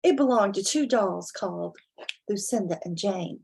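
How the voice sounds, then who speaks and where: A woman reading a children's storybook as a dramatic reading, with deliberate, theatrical emphasis. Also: mid-range voice, expressive, gravelly, a little mellow, a little hiss or hum. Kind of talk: expressive dramatic storybook reading with casual asides.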